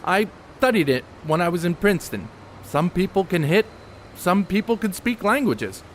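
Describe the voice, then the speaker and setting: A scholarly, guarded ballplayer acted by a man in a period stage drama. He speaks high and expressively, in a precise, reproving way with some hesitation. Noise is audible on the recording. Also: sing-song, moderate pace. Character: educated, fussy, exasperated male ballplayer scholar, secretive. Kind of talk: stage play acting, period dialogue.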